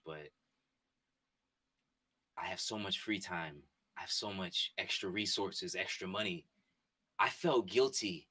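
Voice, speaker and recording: A man in his twenties chatting casually with his live-stream viewers from a home setup, excitable and repetitive. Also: deep voice. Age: 20s. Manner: excitable, repetitive, talks straight to chat. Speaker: sports streamer. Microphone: desk mic and headphones.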